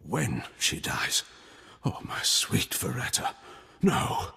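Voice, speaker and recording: Game admiral and anguished father: formal, theatrical, widely swinging pitch, reverberant hall. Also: huge pitch swings, takes it slowly, creaky, pushed and bright, breathy edge, exaggerated voice. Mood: anguished relief. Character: imperious Sith admiral, anguished doting father.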